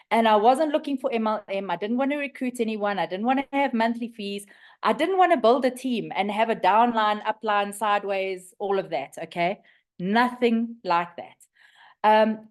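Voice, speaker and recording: A woman in her fifties with a South African accent, hoarse and raspy from the flu, sharing her story on a group call. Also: a little high. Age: 50s.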